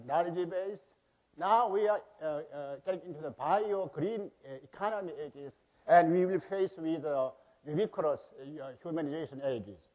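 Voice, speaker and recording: A man with a Korean accent presents to a conference audience through a podium microphone. He is a bit nervous and pushes quickly through his material. His voice sits somewhat high for a man. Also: darkish tone, rough recording. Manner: says he is nervous, rushes through. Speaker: conference speaker, university president. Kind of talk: presentation talk. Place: large conference hall.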